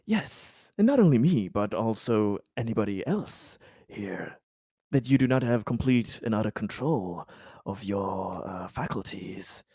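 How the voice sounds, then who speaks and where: A man playing a paranoid, wary injured colonist in a tabletop game, speaking in halting, guarded phrases. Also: on the low side, expressive, mellow, breathy edge, no room sound, clean studio sound, heavily acted. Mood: wary, suspicious.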